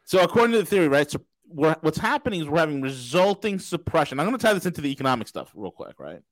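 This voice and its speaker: A man with a fairly high voice rants loudly and mockingly, swearing freely. His voice sounds close and dry, with no room around it. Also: very animated, fast talker, no echo, poor audio. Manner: loud, profane, mocking rants. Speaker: podcast host. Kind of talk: casual talk show banter.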